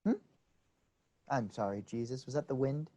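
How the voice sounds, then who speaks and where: A man's voice: mid-range voice, moderate pace, dark tone, close to an everyday voice. A man playing a grudging, huffy disciple Peter in a staged comedy reading. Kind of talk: staged reading of a comic play.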